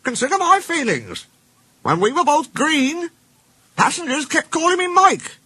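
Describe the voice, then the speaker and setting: A man's voice: very high voice, sing-song, measured pace, pushed and bright, no echo, pitched above the performer's own voice, full character voice. A man voicing a small, cheeky green steam engine in a children's storybook, friendly and smug with a teasing manner.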